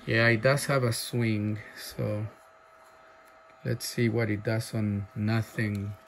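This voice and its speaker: A man casually showing off a radio he is selling, talking haltingly with many fillers and breaking into loud clipped mic tests. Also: lowish voice, little pitch movement, vocal fry, slight background noise. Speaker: seller demonstrating a radio. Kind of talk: casual off-the-cuff product demo. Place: indoor workshop bench.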